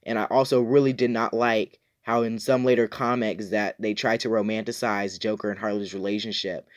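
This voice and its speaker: A man's voice: fairly low pitch, flat delivery, brisk. A man talking casually to viewers as an enthusiastic, opinionated reviewer who leans on emphatic repetition. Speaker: YouTube reviewer, host.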